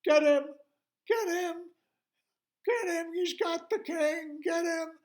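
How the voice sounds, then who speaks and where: A man as agitated storybook soldiers, urgent shouts, high strained pitch. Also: takes it slowly.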